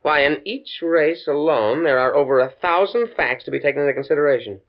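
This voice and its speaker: A man's voice: a little high, fairly quick, close to an everyday voice. An actor playing an earnest, naive, pedantic male university scientist in a stuffy, precise 1950s radio drama style. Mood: confident, eager. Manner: precise, stuffy, stammers when flustered. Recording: muffled vintage 1950s radio recording.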